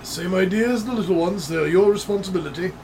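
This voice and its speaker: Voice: mid-range voice, quick pace, background noise, close to an everyday voice. A game master playing a stern, humorless male caravan guard captain who answers curtly and dryly. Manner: curt, dry.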